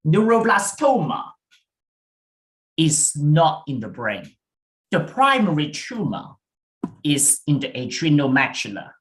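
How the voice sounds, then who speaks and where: A British man delivers a prepared research talk at a slow, unhurried pace. His pitch rises and falls a lot as he stresses key points. The measured, deliberate delivery comes through a video-call mic. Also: fairly bright tone. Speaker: invited research speaker.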